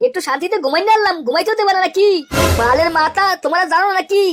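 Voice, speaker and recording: A man voicing a lazy, irritable grown son in a comic cartoon, grumbling and swearing in a pitched-up voice. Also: expressive, fast talker, fairly bright tone. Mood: indignant.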